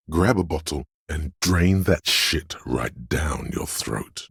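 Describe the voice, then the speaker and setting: Sleazy inner voice of vice for a video game, super deep and very husky, slow seductive goading, wants booze and thrills. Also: male voice, dry recording, clean studio sound, heavily acted. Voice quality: gravelly, husky bass. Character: sleazy hedonistic inner voice of a drunkard's nervous system. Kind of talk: video game character voice acting.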